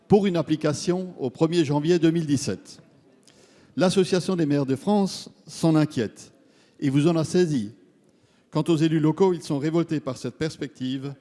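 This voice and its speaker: A man giving a formal, measured parliamentary speech, reading out a prepared question as a member of parliament. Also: a little high, mellow. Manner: measured, formal, read-out delivery. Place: large parliament chamber. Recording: long reverb of a large hall.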